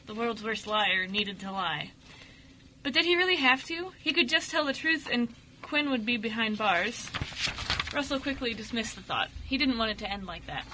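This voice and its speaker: Bright, expressive female story narrator. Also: fairly high pitch, normal speed, rough recording. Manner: expressive, emphatic. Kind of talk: dramatic scripted narration. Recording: faint noise, amateur radio show recording.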